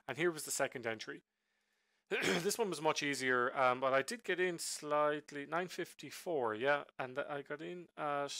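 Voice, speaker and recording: A man's voice: mid-range voice, pushed and bright, no room sound. A man casually walking through his day's trades on a chart, rambling with many ums and self-corrections while he is sick with a flu. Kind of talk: casual screen-share trade recap.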